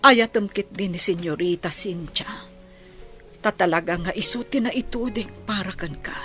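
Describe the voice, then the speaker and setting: A woman playing a poor, blind, long-suffering mother in a radio drama, speaking softly and with resignation. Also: medium pitch, normal speed, faint music behind, lightly acted. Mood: resigned. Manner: soft, resigned, apologetic.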